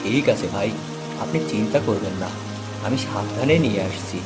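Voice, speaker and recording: A man's voice: lowish voice, moderate pace, natural voice. A man playing a poor, humble, hardworking village husband in a moral-story cartoon, with rain sounds behind him. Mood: humble, polite. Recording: music and rain under the voice.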